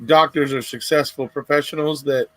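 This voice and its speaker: A man's voice: on the high side, steady tone, moderate pace, fairly bright tone, no room sound, low-quality recording. A man talking as a guest in a casual chat, easygoing, with lots of "you know" fillers.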